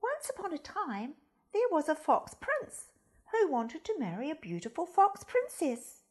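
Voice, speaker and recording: A woman reading a children's story aloud in a dramatic, deliberate way, with hushed emphasis. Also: very high voice, very animated.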